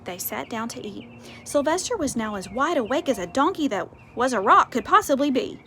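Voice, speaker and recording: High-voiced woman reading a picture book. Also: moderate pace. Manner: animated, explanatory, conversational asides. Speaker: storyteller reading to children. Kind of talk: expressive picture-book read-aloud with asides to children. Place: outdoors on a porch. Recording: faint outdoor ambient noise.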